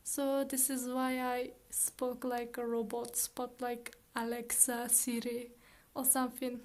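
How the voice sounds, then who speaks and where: A woman's voice: a little high, monotone, takes it slowly, a little mellow. A young woman with a shy, careful way of talking, explaining a science topic to her viewers. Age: young adult. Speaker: channel creator and student. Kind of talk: educational explainer and chat to viewers.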